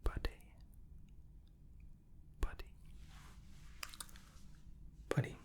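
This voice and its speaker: A man doing an ASMR roleplay as an examiner, speaking softly and in whispers, gently reassuring and repeating words. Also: very slow. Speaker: ASMR host playing an examiner.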